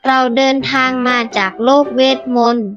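A woman's voice: high-pitched, steady tone, moderate pace, fairly bright tone, lo-fi sound. A woman voicing a curious, kind young child adventurer who speaks with wonder to young listeners in a storybook tale. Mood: curious, friendly. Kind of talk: children's story hosting and acting.

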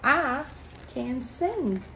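A middle-aged woman reading a picture book aloud to children, phrasing expressively and deliberately. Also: high voice, sing-song, creaky, a bit bright, slight background noise, poor audio. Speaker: library storytime reader. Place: indoors at a table in a small room.

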